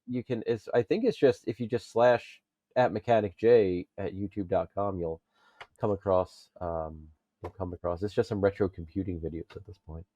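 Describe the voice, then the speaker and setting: A man on a casual pop culture podcast, talking in a halting way as he thinks aloud. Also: sits low, steady tone, mellow, lo-fi sound. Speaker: podcast panelist.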